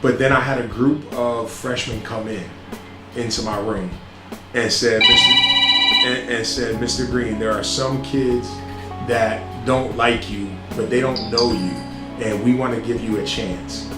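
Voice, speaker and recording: A middle-aged man, a school principal, answering interview questions in a loud, earnest way with frequent ums and restarts. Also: mid-range voice, steady tone, measured pace. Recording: roomy sound with music behind.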